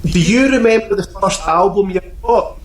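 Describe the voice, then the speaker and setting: A man hosts a podcast interview, putting polite, plainly worded questions to his guest. His voice sits high for a man, and it carries a roomy echo. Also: normal speed. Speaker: podcast host.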